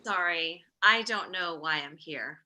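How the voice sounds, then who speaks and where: A woman playing a dry, put-upon family therapist with deadpan delivery in an animated comedy read. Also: fairly low pitch, unhurried. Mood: dry, bemused.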